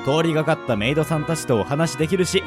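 Man playing an easygoing swordsman, lightly high-pitched. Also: fast, natural voice. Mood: breezy, teasing. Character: easygoing, roguish male fencing instructor, polite yet teasing. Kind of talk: radio drama acting. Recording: music behind the voice. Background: background music.

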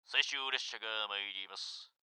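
A man's voice: fairly low pitch, normal speed, pushed and bright, smooth. A man playing a solemn, eerie train station announcer, speaking formally in an amateur radio drama. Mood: solemn, ominous. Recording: long cavernous reverb.

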